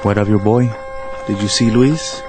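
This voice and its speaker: An actor playing a concerned, devout villager and loyal friend, speaking earnestly in a radio drama. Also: male voice, on the low side, steady tone, normal speed, over music, natural voice. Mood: worried.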